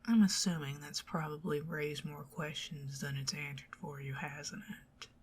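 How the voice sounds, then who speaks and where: Southern accent, obsessive goblin queen voiced by a woman, low husky whisper, slow and teasing. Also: fairly even intonation. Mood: coaxing whisper. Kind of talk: ASMR roleplay.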